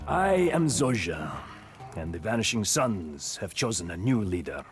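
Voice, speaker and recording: An actor playing a smug, treacherous mercenary boss in a game, theatrical and oily. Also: male voice, lowish voice, big pitch swings, measured pace, husky, soft background music, exaggerated voice. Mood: smug, mocking. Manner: oily mock politeness, theatrical swings. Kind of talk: video game cutscene acting.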